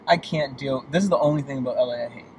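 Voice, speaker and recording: A man in his late twenties from Boston chatting casually and candidly as a guest in a car, with emphatic, expressive delivery. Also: mid-range voice, rapid-fire. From Boston, United States. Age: late 20s. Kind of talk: casual chat. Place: inside a moving car. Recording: clear vehicle road noise. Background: car driving noise.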